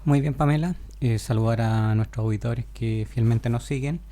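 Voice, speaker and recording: A man, a businessman speaking as a panelist on a radio show, measured and sober. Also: medium pitch, warm tone. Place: radio studio.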